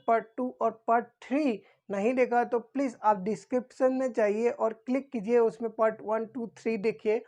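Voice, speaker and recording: A woman's voice: a little high, a little mellow. A woman instructor giving an informal explanatory lesson, repeating key points and answering her own questions.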